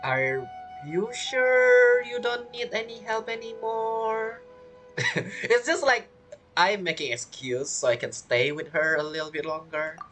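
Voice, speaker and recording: A woman's voice: medium pitch, lively intonation, a little slow, a bit bright, soft background music. A woman streaming a game with casual, chatty and playful commentary. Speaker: gameplay streamer.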